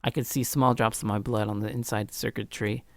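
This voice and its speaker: A man's voice: steady tone, fairly quick, vocal fry, fairly bright tone, no echo. A man livestreaming a let's play, reading story narration aloud with casual asides, often stumbling and rereading phrases. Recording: low-quality stream audio, music behind. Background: music.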